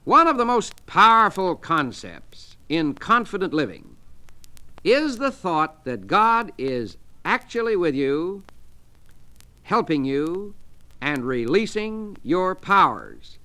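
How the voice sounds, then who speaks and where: An American man telling inspirational stories as a motivational religious speaker, with emphatic, deliberate oratory. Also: high-pitched, sing-song, sharp tone. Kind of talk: inspirational storytelling sermon. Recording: archival speech recording with faint noise.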